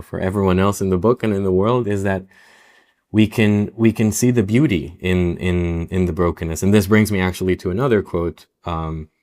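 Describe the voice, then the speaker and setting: A young man from Israel, a native Hebrew speaker, talking slowly and thoughtfully in a podcast interview. His voice sits very low. He often restarts his phrases as he thinks them through. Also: darkish tone. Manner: reflective, many restarts and "um"s. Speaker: novelist guest.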